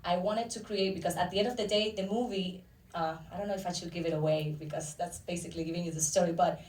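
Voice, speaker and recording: A Dominican-accented woman with a lowish voice answering questions at a festival talk, heard across an echoey room. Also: fairly even intonation, fast, a little mellow. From Dominican Republic. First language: Spanish. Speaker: actor and filmmaker interviewed. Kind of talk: casual interview answers. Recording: roomy echo, distant microphone.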